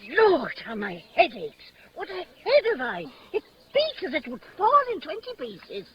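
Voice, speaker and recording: Old nurse, highish swooping pitch, garrulous, grumbling, muffled vintage radio play. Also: female voice, wildly swooping pitch, measured pace, a little hiss or hum, full character voice. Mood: weary complaining. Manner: grumbling, dramatic moaning. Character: garrulous, teasing, weary old nurse woman. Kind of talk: 1950s radio Shakespeare acting.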